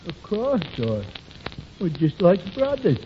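An actor playing a slow-witted, good-natured, easily confused man in a 1940s radio comedy, with a dopey, hesitant delivery. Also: high voice, wildly swooping pitch, heavily acted. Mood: dopey, friendly. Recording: muffled, very noisy old radio recording.

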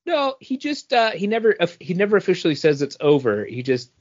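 A man's voice: high voice, very animated, a little fast, dull audio. A man co-hosting a podcast, telling everyday anecdotes in a casual, humorous way. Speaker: podcast co-host.

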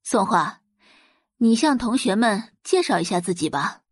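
A woman voicing a brisk, businesslike school teacher. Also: mid-range voice, sing-song, breathy edge, no room sound, clean studio sound.

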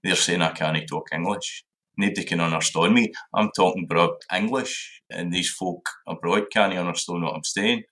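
A Scottish man speaks as a podcast guest, his voice very low yet bright and forceful. He talks animatedly, repeating words as he works through his points. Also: moderate pace. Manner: animated, thinks aloud with repetitions. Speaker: language centre director, guest. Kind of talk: podcast interview.

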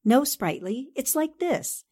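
A woman's voice: high voice, expressive, takes it slowly, mellow, heavily acted. A woman playing a self-assured, teasing newspaperwoman with little patience in a 1940s-style radio drama. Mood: teasing, exasperated. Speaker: actor in an amateur radio drama re-creation.

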